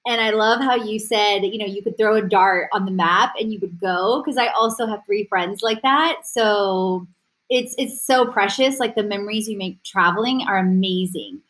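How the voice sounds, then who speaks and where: A woman's voice: mid-range voice, normal speed, fairly bright tone. A woman hosting a casual group conversation in an affirming, encouraging manner. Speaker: host.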